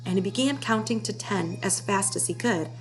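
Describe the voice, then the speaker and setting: A woman's voice: medium pitch, moderate pace, faint music behind, low-quality recording. A woman narrating a children's storybook aloud as its reader. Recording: clear background noise with hum.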